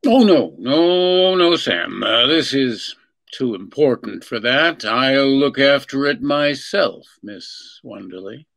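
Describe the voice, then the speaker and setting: A man's voice: mid-range voice, lively intonation, slow pace, gravelly, fairly bright tone, exaggerated voice. An actor playing an eager, smitten male detective partner in an old-time radio drama, bumbling and ingratiating.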